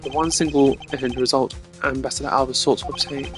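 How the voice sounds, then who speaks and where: A composed, formal prosecutor played by a man lays out arguments in a measured, declamatory style. The pitch is middling, and game music plays behind. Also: fairly even intonation, lightly acted. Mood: certain, reasoning.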